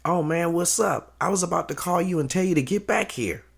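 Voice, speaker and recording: A man playing a loyal, tech-savvy cousin in an audio drama, speaking in a measured, serious, colloquial way. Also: on the high side, vocal fry, breathy edge. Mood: earnest.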